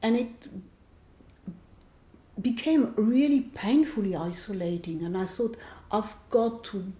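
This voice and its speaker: A woman in her sixties from France with a French accent, a novelist speaking reflectively in an interview, often pausing to search for words. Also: mid-range voice, mellow. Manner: thoughtful, searching for words, restarts phrases. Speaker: author being interviewed. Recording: echoey room.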